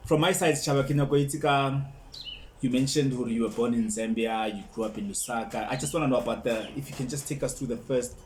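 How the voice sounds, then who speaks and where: Hesitant co-host. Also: male voice, mid-range voice, quick pace, slight background noise. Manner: hesitant, repeats words while asking. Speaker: podcast co-host. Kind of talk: casual interview questions.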